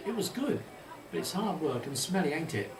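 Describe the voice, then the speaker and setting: A man's voice: fairly high pitch, big pitch swings, normal speed, voice pushed up. A man voicing an eager young village boy in a storybook, keen to help. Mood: pleased. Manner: eager, bright-spirited. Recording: distant mic, roomy echo, faint hiss.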